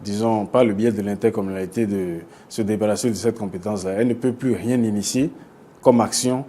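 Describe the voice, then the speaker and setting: Mellow, low-voiced Beninese man explaining haltingly. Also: steady tone. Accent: Beninese French. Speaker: expert guest. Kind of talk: explanatory interview answers. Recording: clear background noise.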